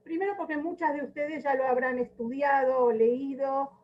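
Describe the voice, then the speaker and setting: A woman's voice: high voice, fairly even intonation, normal speed. A woman giving an informal history lecture with storytelling, speaking emphatically and repeating words for weight, over a video call. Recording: dull, lo-fi video call audio.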